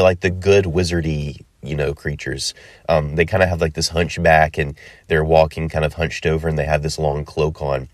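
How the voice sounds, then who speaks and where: A man's voice: low voice, no echo, clean studio sound. A man hosting a solo podcast in a casual, rambling way with many ums and uhs, sounding stuffy from a cold. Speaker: podcast host.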